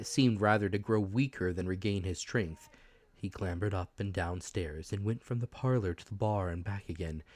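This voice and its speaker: Guy reading an old adventure story, lowish voice, dramatic audiobook style, dry close sound. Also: darkish tone, no room sound. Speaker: narrator.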